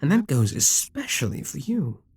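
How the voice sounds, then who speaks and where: A man's voice: fairly high pitch, wildly swooping pitch, moderate pace, gravelly, darkish tone, breathy edge, dry recording, full character voice. A man voicing a possessive, scheming male demon king in an audio roleplay, teasing and condescending. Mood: scornful.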